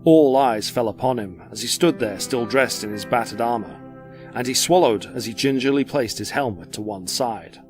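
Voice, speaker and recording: A man narrating a dramatic story from a script in a measured, descriptive way. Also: medium pitch, darkish tone, soft background music.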